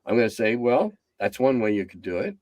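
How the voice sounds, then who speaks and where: A man's voice: very animated, brisk, no room sound. A man in his seventies, a game designer chatting bluntly and ramblingly as an interview guest. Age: 70s. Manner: blunt, rambling, drops low and quiet as he winds down. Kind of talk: casual interview chat.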